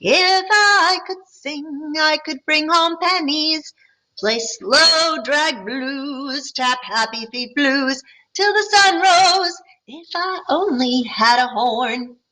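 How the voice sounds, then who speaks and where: A woman's voice: high voice, expressive, takes it slowly, sharp tone, voice pushed up, exaggerated voice, poor audio. A woman reading as a young boy's dreamy sing-song. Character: young boy dreaming of a horn.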